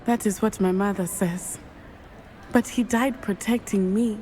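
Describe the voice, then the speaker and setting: A woman's voice: medium pitch, steady tone, a little slow, mellow, a little hiss or hum, natural voice, rough recording. An actress playing an earnest, determined young student witch with a precise, formal way of speaking. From Matabeleland. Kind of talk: video game voice acting.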